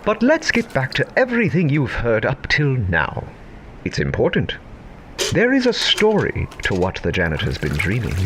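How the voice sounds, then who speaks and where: Swooping, theatrical storybook narrator. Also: male voice, medium pitch, huge pitch swings, a bit bright, slight background noise. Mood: whimsical, knowing. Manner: dramatic, deliberate phrasing with swooping emphasis. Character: imaginary male narrator, whimsical, theatrical storyteller. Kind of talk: theatrical scripted audio drama narration.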